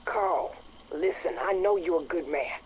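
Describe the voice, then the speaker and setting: An actor playing a blunt, world-weary biker friend, pleading and resigned, in a game audio drama. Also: male voice, a little high, measured pace, slight background noise, close to an everyday voice, low-quality recording. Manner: blunt, pleading, rough-edged. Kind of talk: game radio drama dialogue.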